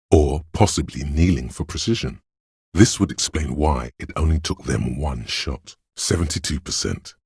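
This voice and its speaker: An extremely deep, husky inner voice of a detective's mind narrates a game in solemn, measured phrases. It is gravelly and very raspy, cerebral and hypnotic, recorded dry and close. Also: male voice, a little mellow, dry recording, heavily acted. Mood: analytical, deliberate. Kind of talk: dramatic game narration, second-person.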